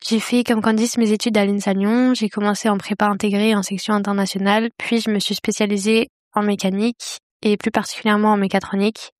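A woman in her twenties from the Paris region, an engineering intern, describing her internship in an even, composed way. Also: medium pitch, brisk, hardly any pauses, dry recording, clean studio sound. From Paris region, France. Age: 20s.

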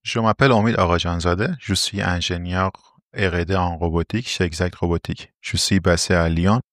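Low-voiced Iranian-accented man, formal and explanatory. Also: steady tone, normal speed, dry recording, clean studio sound. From Iran. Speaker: interview guest, robotics R&D engineer. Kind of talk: prepared interview answers.

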